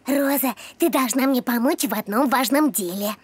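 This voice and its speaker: Woman voicing little boy puppy, children's cartoon, high pitch, earnest, eager, pleading. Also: moderate pace, very noisy, close to an everyday voice. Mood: pleading, determined.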